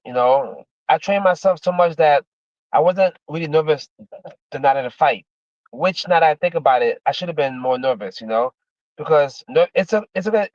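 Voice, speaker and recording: A man's voice: a little high, no room sound, lo-fi sound. A man in his fifties from Miami, chatting casually to his livestream audience in a rambling, repetitive way, full of "man" and "you know". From Miami, Florida, USA. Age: 50s. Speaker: livestreamer, former MMA fighter.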